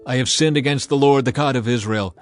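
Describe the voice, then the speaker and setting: A man's voice: medium pitch, a little fast, faint music behind, close to an everyday voice. A man playing a guilty Israelite who earnestly confesses his sin in a dramatized Bible reading. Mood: guilty, pleading.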